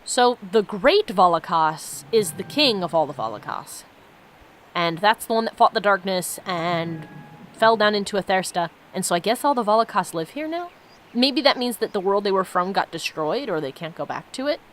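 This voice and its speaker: A woman's voice: medium pitch, lively intonation, moderate pace, a little hiss or hum. A woman giving casual, reflective commentary as she plays a game and reads its story aloud. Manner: musing, reflective. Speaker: let's play host.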